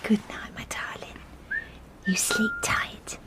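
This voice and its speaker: An actress with an English accent playing a gentle, anxious young wife and mother in a period radio drama, polite and soft-spoken. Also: slow pace, in a whisper, close to an everyday voice. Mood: tender, hushed.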